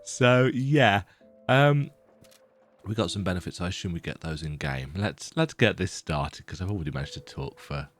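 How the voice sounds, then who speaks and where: A man with a croaky voice giving casual, rambling, self-deprecating commentary while he plays a video game. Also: low-pitched, lively intonation, normal speed, soft background music. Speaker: let's play gamer.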